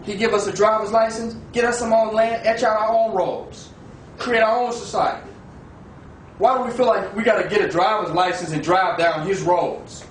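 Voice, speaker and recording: High-voiced preachy man in an echoey room. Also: fairly even intonation, moderate pace. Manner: preachy, rhetorical, asks "you understand?". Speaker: discussion participant. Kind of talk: impassioned debate. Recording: echoey room, far from the mic, with noise.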